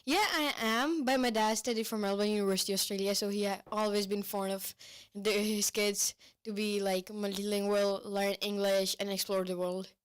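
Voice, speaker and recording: A teenage girl from Pakistan with a Pakistani accent, a native Urdu speaker, answering radio interview questions quickly and giggling often. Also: medium pitch, steady tone, clear, resonant tone. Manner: quick, giggly, bright and eager. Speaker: exchange student guest.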